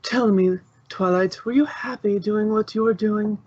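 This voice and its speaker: A woman's voice: mid-range voice, steady tone, measured pace, a little mellow, no echo, dull audio, pitched above the performer's own voice, natural voice. A woman voicing a blunt, regal pony princess who speaks formally and brusquely in a storybook reading. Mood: scornful.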